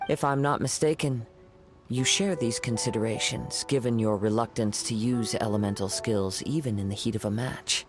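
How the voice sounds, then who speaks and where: A man voicing a calm, courteous young wandering samurai in a video game, speaking in a formal, measured, lyrical way. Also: mid-range voice, close to an everyday voice. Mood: probing, calm. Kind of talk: video game character acting. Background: soft game music.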